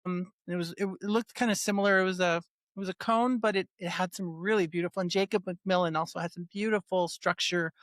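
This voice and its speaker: A woman hosts a casual podcast interview, eager and quick to react to her guest. Her questions come out loose and conversational. The sound is dry and close, with no room around it. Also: lowish voice, fairly even intonation, dry recording. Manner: enthusiastic, reacts with short interjections.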